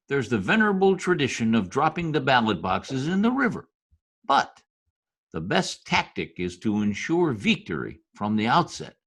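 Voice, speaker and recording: A man's voice: medium pitch, gravelly, fairly bright tone, slightly rough, exaggerated voice. A man playing a deferential, persuasive political adviser who schemes for a dictator in an old-time radio comedy. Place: home room, recorded over video call.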